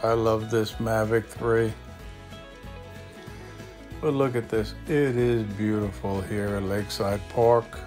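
A man's voice: on the low side, steady tone, slow, long pauses, darkish tone, faint music behind. A middle-aged man hosting an upbeat vlog, talking and narrating. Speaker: vlog host.

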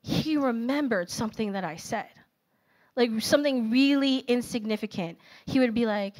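A woman in her thirties preaches in a chatty, animated way, full of personal stories and filler words. Her voice sits a little high. She speaks into a handheld microphone on a church auditorium stage. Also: normal speed, low-quality recording. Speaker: speaker at a young adults' service. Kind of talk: conversational sermon.

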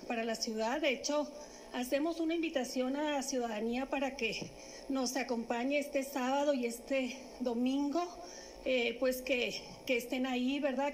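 A middle-aged woman, a city official, announcing an event at a press conference into a stand microphone, speaking haltingly with many fillers and restarts. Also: sits high, slight background noise, poor audio. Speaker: municipal government official. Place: indoor press room.

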